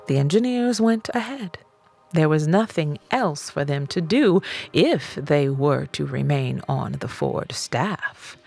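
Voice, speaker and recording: Slow, low-pitched woman narrator with creaky voice. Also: expressive, a little mellow, faint music behind. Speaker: audiobook reader. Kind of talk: scripted narration of a self-help book.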